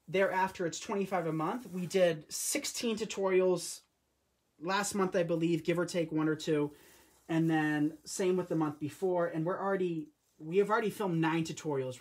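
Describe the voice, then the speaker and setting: Brief: young male craft host, early twenties, relaxed and chatty, recorded at home on a camera over the table. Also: a little high, normal speed. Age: 20s. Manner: chatty, loose and conversational with frequent restarts. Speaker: host of a live crafting stream. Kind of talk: casual live-stream craft demo. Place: home craft room, indoors. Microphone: camera or phone on a tripod over the table.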